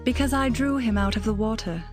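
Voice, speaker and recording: A woman's voice: a little high, fairly quick, close to an everyday voice. A woman playing a compassionate, regal Egyptian princess in a dramatized scripture reading. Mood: tender, regal. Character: compassionate royal Egyptian princess, gently commanding. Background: music.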